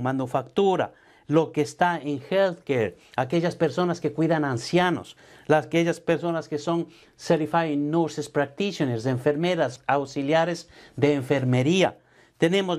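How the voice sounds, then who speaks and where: A middle-aged man, a tax advisor, explaining to camera from an office, speaking deliberately and addressing the viewer directly, slipping English terms into his Spanish. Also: fairly high pitch, darkish tone. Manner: slow, emphatic, addresses viewer directly with formal "usted". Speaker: tax advisor and business coach. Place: home studio office with brick wall. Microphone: lapel mic, seated at desk.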